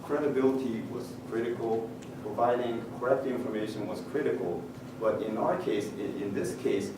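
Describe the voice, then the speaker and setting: A middle-aged man with a Japanese accent gives a technical conference presentation in a low voice, pausing often on "uh" and slipping in dry jokes. He sounds far from the microphone, with a heavy echo from the large hall. Steady noise runs under his voice. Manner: measured, technical, many "uh" pauses, dry humor. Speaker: conference speaker. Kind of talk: presentation talk with slides.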